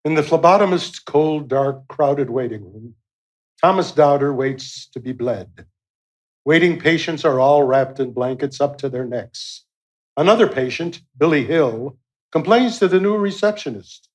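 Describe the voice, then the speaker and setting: A man's voice: fairly high pitch, lively intonation, unhurried. A man giving scripted narration as the narrator of a radio play.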